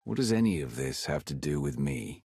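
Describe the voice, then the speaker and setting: An actor playing a stern, skeptical Sith commander in a video game, terse and authoritative. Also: male voice, extremely low pitch, expressive, normal speed, vocal fry, warm tone, slightly rough, no echo, studio quality, full character voice. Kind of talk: video game cutscene acting.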